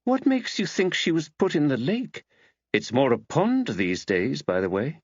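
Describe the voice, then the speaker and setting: A man voicing a thoughtful, easily dismayed woman writer in earnest, expressive audiobook dialogue. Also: fairly high pitch, unhurried, breathy edge, no room sound, highs cut off, voice pushed up, heavily acted. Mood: curious, matter-of-fact.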